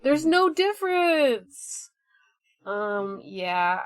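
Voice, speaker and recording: Slow-paced woman podcaster, dry close sound. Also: high-pitched, sing-song, clean tone, no echo, faint music behind. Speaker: podcast co-host. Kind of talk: casual banter.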